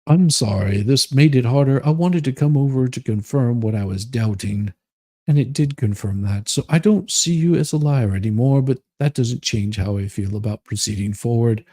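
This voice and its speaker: A man playing a stiff, earnest, non-committal man in a dramatic reading, husky and low-toned, with a measured, solemn delivery. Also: mellow, no room sound, natural voice. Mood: apologetic, firm.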